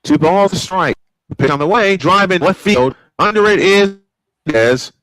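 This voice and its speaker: An older American man calling a baseball game in classic broadcast style, his voice bright and forward. He rattles off counts and pitches steadily and lifts sharply when a play gets close. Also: moderate pace, no room sound. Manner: old-school broadcast style, rising excitement on big plays. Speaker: play-by-play announcer.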